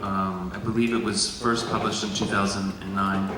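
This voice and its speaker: A man's voice: on the low side, level tone, normal speed. A man introducing an author at a literary event, speaking hesitantly with many ums. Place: large event hall. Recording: distant echoey hall with noise.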